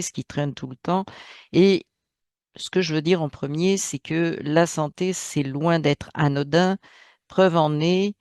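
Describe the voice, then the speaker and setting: A man's voice: on the high side, a little mellow, no echo, clean studio sound. A man hosting his own channel, giving a spoken monologue in a hesitant way with many fillers, restarts and emphatic asides. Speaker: channel host.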